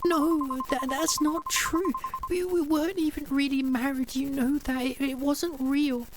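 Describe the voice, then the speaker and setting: A man's voice: very high-pitched, steady tone, unhurried, soft background music, voice pushed up. A man doing a terrified, stammering, tearful pleader. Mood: desperate pleading. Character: terrified grieving young person begging forgiveness.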